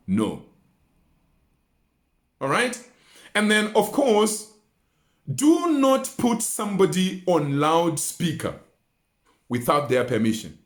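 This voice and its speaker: A man giving an instructional talk in an emphatic, didactic way, using rhetorical questions and repetition. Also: on the high side. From Botswana. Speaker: research mentor and communication coach. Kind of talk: instructional talk with numbered tips. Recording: roomy, echoing indoor sound.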